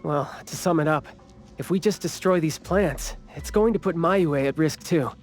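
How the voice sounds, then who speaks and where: An actor voicing a calm, thoughtful male investigator in a video game, explaining things plainly. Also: on the high side, normal speed, faint music behind, close to an everyday voice.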